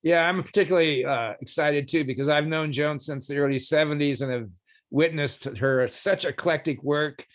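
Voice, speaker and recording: A man's voice: on the high side, moderate pace. Man hosting a podcast, reading an intro. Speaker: podcast host.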